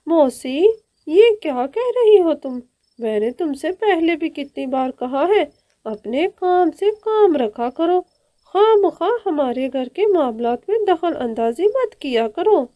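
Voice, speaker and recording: A woman's voice: high-pitched, sing-song, slow pace, dark tone, pitched above the performer's own voice, full character voice. A woman voicing a greedy, jealous stepmother bird in a cartoon, haughty and snappish in manner. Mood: angry, snappish. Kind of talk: Hindi children's cartoon dialogue.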